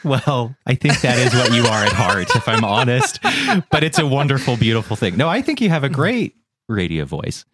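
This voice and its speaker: A male guest on a podcast with a deep, articulate voice, chatting casually in a wry, self-mocking way. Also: sing-song, moderate pace, background noise.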